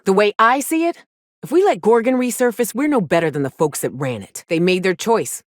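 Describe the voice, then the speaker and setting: A woman's voice: mid-range voice, animated, fairly quick, no echo, studio quality, close to an everyday voice. Blunt, weary frontier woman, played by an actress. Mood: indignant. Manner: blunt, plainspoken, folksy, sweary. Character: weary, cynical but caring frontier woman, hard-drinking hunter. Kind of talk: video game character dialogue.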